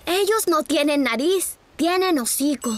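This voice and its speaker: A young boy rabbit from a children's cartoon. He is playful and friendly, with a high, very animated voice and an unhurried pace, over music. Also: slight background noise. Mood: keen, explaining. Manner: expressive, explaining to children. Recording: music underneath.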